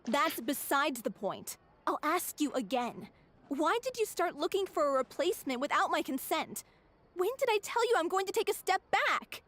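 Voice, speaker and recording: A woman's voice: high-pitched, big pitch swings, moderate pace, fairly bright tone. A woman playing an indignant, proud stage actress in a video game, confrontational and demanding.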